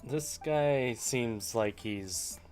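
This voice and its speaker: Very slow-talking man commenting on a game. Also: mid-range voice, darkish tone. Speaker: streamer playing and commenting on a game. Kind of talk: casual gameplay commentary. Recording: faint game music behind.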